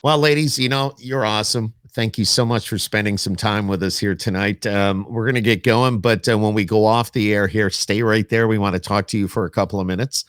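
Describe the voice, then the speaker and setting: A man's voice: lowish voice, fairly even intonation, a little fast, few pauses, a bit bright, dry recording, studio quality. A man hosting a podcast, chatting casually as he interviews guests over a remote call. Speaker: podcast host.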